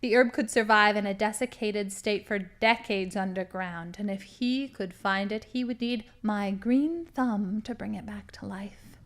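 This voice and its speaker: A woman's voice: medium pitch, measured pace, natural voice. A woman acting as a boastful, theatrical villain, a cheerfully murderous crime boss in a comedy audio drama. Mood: scheming. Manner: gleeful, boastful, dramatic monologuing.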